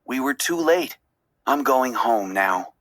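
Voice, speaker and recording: A woman's voice: a bass voice, expressive, takes it slowly, exaggerated voice. A woman voicing an anxious, devoted father fish in a storybook, earnest and tender in manner. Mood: defeated. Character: anxious, devoted father clownfish, adult male.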